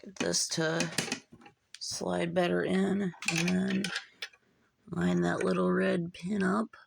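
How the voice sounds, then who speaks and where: Creaky-voiced hobbyist talking through a repair. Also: mid-range voice, level tone, slow, background noise. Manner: informal, muttering asides while working. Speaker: hobbyist repairer showing a fix. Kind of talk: casual hands-on repair narration.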